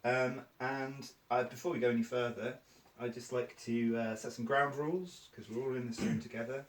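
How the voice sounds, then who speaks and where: A man's voice: mid-range voice, steady tone, moderate pace. Upbeat man leading a training session. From Cornwall, England. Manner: upbeat and encouraging, frequent fillers. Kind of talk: friendly training-session facilitation. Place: small training room. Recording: echoey room, mic at a distance.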